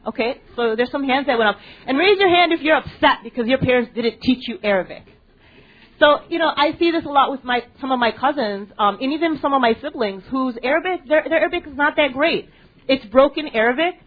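An American woman in her young adult years speaks to an audience at a library panel, her voice sitting a bit high. She keeps asking questions of the room and trips over restarts and ums as she goes. The recording is narrow and tinny, like a phone line. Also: lively intonation, a little fast. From United States. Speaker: panel moderator addressing a student audience. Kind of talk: public talk with audience interaction. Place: library event space.

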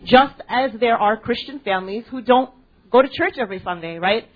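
A woman's voice: fairly high pitch, lively intonation, a little fast, slightly rough. American woman talking to an audience, tinny sound. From United States. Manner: engaging, asks audience questions, frequent ums and restarts. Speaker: panel moderator addressing a student audience. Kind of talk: public talk with audience interaction. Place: library event space. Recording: tinny phone-like recording.

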